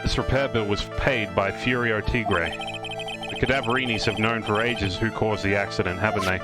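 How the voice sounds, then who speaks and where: A man's voice: lowish voice, steady tone, normal speed. A man live-dubbing a lawyer's lines in a video game, with joking asides, as a streamer. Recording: loud game music behind.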